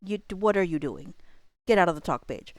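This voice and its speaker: A woman's voice: lowish voice, lively intonation, normal speed, dry recording, clean studio sound. A woman livestream host chatting casually and thinking aloud, rambling and often interrupting herself. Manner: rambling, self-interrupting, informal.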